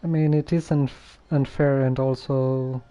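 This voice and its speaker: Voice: mid-range voice, fairly even intonation, a little slow, dark tone, highs cut off. A game streamer giving casual live commentary in a musing, hesitant manner.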